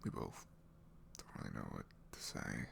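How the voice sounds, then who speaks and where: A young man playing an awkward, apologetic college-age ex-boyfriend in an intimate ASMR audio roleplay, speaking softly and haltingly, often close to a whisper. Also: a little hiss or hum, close to an everyday voice. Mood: apologetic, hesitant. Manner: hesitant, halting, trailing off.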